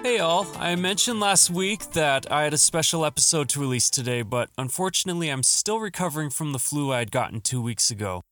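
A man's voice: on the high side, expressive, fairly quick, few pauses, a bit bright, faint music behind. A man hosting a podcast from his home studio, talking in an upbeat, earnest tone while his throat is still scratchy from the flu. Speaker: podcast host. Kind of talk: solo podcast monologue.

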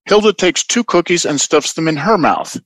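A man's voice: on the high side, animated, moderate pace. A man giving scripted narration as the announcer of an audio play, reading stage directions.

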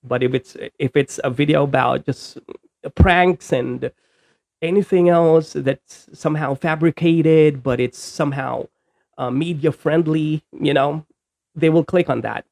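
A man's voice: on the high side, measured pace, gravelly, darkish tone, no room sound, studio quality. A man, a Bisaya speaker, hosting a casual interview and talking in a rambling way with many fillers. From Philippines. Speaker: podcast host.

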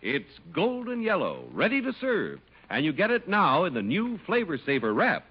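A man's voice: sits high, wildly swooping pitch, moderate pace, husky. An American man announcing in polished, emphatic 1950s radio style, reading scripted ads and introductions. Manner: polished, emphatic sales delivery. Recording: muffled old radio broadcast over music.

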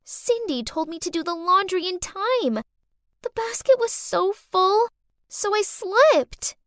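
A woman voicing a manipulative little girl who whines and pleads in a high childish voice. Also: animated, slow pace, fairly bright tone, no room sound, clean studio sound, full character voice. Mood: whiny. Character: manipulative little girl, fake-tearful and sly.

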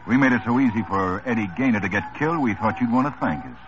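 A man's voice: fairly low pitch, fast talker, close to an everyday voice. A man playing a dry sarcastic police lieutenant. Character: tough wry male police lieutenant. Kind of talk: 1950s radio drama acting. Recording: dull old radio broadcast with music.

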